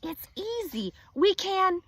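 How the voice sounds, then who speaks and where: Eager young boy in a storybook, put on by a woman reader; bright, high and lively. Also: takes it slowly, dry recording, exaggerated voice. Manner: excited, rising questions.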